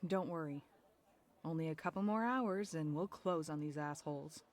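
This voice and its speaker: A woman's voice: sits low, normal speed, dry recording, close to an everyday voice. A woman playing a feisty young bar worker in a fan-dubbed visual novel, blunt and cheeky. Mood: irritated.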